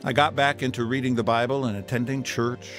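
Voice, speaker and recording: A man's voice: medium pitch, fairly even intonation, fairly quick, fairly bright tone, big-room sound, faint music behind, natural voice. An actor playing an earnest, preachy reformed man turned missionary, telling his testimony in a radio drama. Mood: earnest, friendly.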